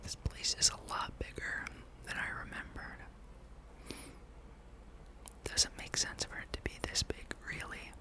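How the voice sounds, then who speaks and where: A person whispering a relaxed ASMR gameplay commentary, breathy and rambling, with dry, wry asides. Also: unhurried, long pauses. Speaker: host of a gaming ASMR channel.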